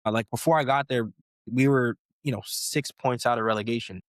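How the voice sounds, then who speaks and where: A man's voice: mid-range voice, fairly quick, dry recording. A young American man, a professional footballer, chatting casually as a podcast guest, rambling with many restarts and fillers. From United States. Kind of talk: casual interview chat.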